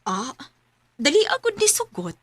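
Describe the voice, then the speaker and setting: Chatty, teasing mother, very animated. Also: high-pitched, normal speed, no echo. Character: chatty, fond, teasing mother of a grown son. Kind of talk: Filipino radio drama acting.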